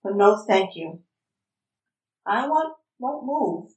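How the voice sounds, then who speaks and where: A woman's voice: medium pitch, big pitch swings, takes it slowly, muffled recording. A woman acting an anguished, defiant mother in a stage-play reading, emotional and switching between English and Spanish. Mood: stubborn, hurt. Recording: echoey room, distant mic.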